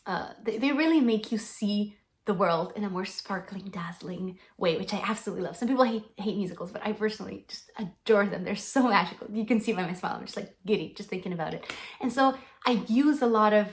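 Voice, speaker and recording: A bubbly young woman, Slovenian her first language, talks to her camera at home in a relaxed Q&A vlog. She gushes enthusiastically and keeps starting over, with lots of ums, at an ordinary middle pitch. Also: fast talker. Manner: bubbly, gushing, frequent ums and restarts. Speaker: singer-songwriter answering fan questions. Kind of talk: casual Q&A vlog.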